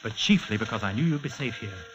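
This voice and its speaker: An actor playing an intense, scheming protector in a 1940s radio spy mystery. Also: male voice, on the low side, lively intonation, quick pace, fairly bright tone, soft background music. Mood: intense, explaining. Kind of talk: 1940s radio drama acting. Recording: muffled, faintly hissy old radio recording.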